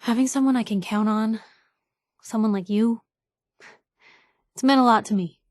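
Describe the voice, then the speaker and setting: Game companion, woman playing a nosy, wry young American newspaperwoman; slightly high voice, snappy informal delivery, very dry recording. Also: moderate pace, darkish tone, no room sound, close to an everyday voice. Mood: warm, grateful. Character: feisty, nosy young woman newspaper reporter, loyal and blunt. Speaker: video game companion character. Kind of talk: acted game dialogue.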